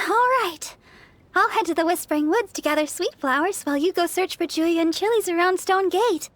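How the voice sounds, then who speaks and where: Very high girlish young church sister. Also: normal speed. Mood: thoughtful, polite. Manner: polite, gentle, eager to please. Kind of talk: anime game character voice acting. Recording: music behind the voice. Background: game music.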